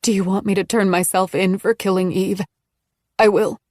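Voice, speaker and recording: A woman's voice: medium pitch, little pitch movement, normal speed, darkish tone, dry recording, clean studio sound, close to an everyday voice. A woman reader voicing a terrified pregnant woman in labor, desperately begging for help. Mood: panicked.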